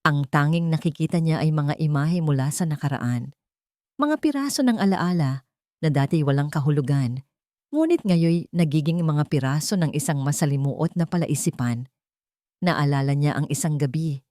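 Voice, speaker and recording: A woman's voice: deep voice, warm tone, no echo, clean studio sound. A woman reading a dramatic romance story as a scripted narrator. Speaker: audiobook-style story narrator.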